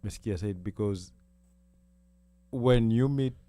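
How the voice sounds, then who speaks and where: A man's voice: fairly low pitch, slow, dark tone, clear, resonant tone. A man talking as a panelist on a studio show, rambling and chuckling, mixing Swahili into English. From Kenya. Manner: rambling, laughs between phrases, mixes Swahili. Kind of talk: casual panel chat.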